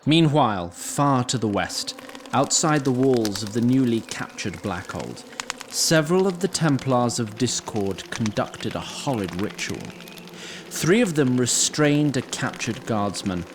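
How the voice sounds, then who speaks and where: A man narrating a scripted fantasy story in a deliberate, literary, wry manner. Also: medium pitch. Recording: clear background noise and ambience.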